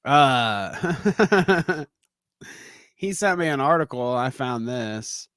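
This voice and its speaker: A man's voice: mid-range voice, expressive, drawn-out words, fairly bright tone, no echo. A man co-hosting a loose live podcast with a mid-range voice, often breaking into laughter. His speech is peppered with casual swearing. The sound is close and dry. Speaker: podcast co-host. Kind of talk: casual chat.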